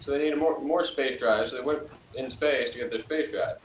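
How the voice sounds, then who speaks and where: A man's voice: mid-range voice, brisk. Man, co-host, rambling and scornful, echoey room, light background noise. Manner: dismissive, rambling with fillers. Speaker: podcast co-host. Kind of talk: casual review chat.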